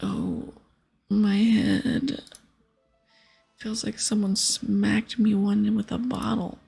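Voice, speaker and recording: Young female adventurer, read by a woman: soft, very husky, creaky, naive and befuddled, ASMR game read-aloud with music. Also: mid-range voice, little pitch movement, drawn-out words, exaggerated voice. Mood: dazed. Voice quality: soft, breathy.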